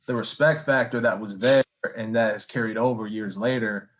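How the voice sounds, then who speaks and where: A man in his thirties from Michigan hosts a podcast and tells stories in a friendly, animated way, saying "like" and "bro". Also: lowish voice, moderate pace. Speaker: podcast host. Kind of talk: casual interview conversation.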